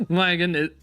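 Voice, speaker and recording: A man hosting a casual chat stream, laughing often and talking in an animated, teasing way; English is not his first language. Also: high-pitched. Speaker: streamer host.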